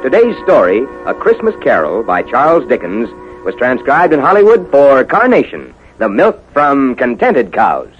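American male announcer, old-time sponsored radio, lively intonation, dull vintage sound over music. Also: mid-range voice, normal speed. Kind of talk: 1950s sponsor announcing and scripted narration. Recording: muffled 1950s radio recording.

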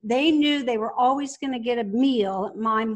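A woman's voice: on the high side, normal speed. An older woman speaking conversationally as an interview guest, recalling and explaining. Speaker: podcast guest, business founder.

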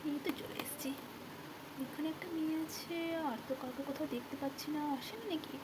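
Woman doing a vain young man doll, high-voiced. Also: a little fast, heavy background noise, lightly acted. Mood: searching, puzzled. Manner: boastful, haughty, mixes English words into speech. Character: vain, snobbish young man, self-styled handsome success. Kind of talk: children's doll puppet play. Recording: loud music behind the voice.

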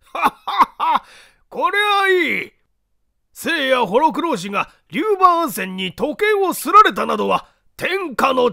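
A man reading an audiobook, voicing an excitable, polite gentleman with an exclamatory manner. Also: very high-pitched, creaky, pitched above the performer's own voice, heavily acted. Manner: exclamatory, mocking politeness, drawn-out guffaws. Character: excitable, polite Japanese gentleman, astonished then heartily amused.

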